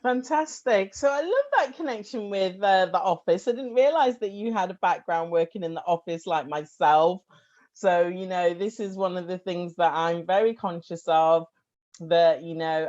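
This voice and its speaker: A woman's voice: fairly low pitch, unhurried, darkish tone, dull audio. A woman hosting a podcast interview, chatty and enthusiastic. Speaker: podcast host.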